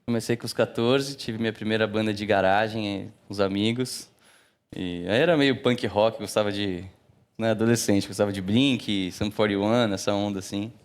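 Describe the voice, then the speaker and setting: A man's voice: lowish voice, moderate pace. A man in his thirties with a Brazilian accent, a musician talking casually as a TV guest, loose and filler-heavy. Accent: Brazilian Portuguese. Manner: relaxed, many fillers like "né" and "assim". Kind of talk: casual TV interview chat.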